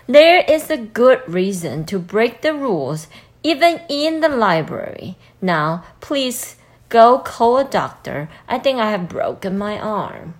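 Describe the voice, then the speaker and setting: A strict but kind librarian lady from a children's storybook, speaking in a high, sing-song voice that swoops up and down, as a man reading aloud puts her on. She talks slowly and properly, with gentle, exaggerated politeness. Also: very animated, heavily acted. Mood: calm.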